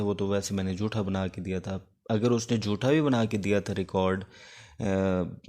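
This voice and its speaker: A man's voice: lowish voice, mellow. A man with a Pakistani accent giving an informal law lecture as a teacher, explaining step by step and switching between two languages. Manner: explanatory, code-switches between Urdu and English.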